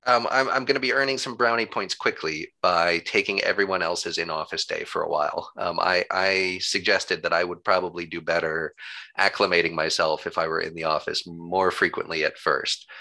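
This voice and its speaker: A man's voice: low voice, steady tone, normal speed, vocal fry, pushed and bright, low-quality recording. A man being interviewed in a conversational way, giving wordy, upbeat explanations as a local government employee.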